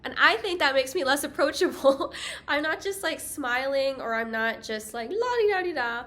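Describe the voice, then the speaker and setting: A young woman with a higher-pitched voice gives safety tips in a casual vlog, chatty and self-deprecating, with light laughs. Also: expressive, moderate pace, bright, forceful tone. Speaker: travel vlogger. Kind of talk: casual talking-head vlog.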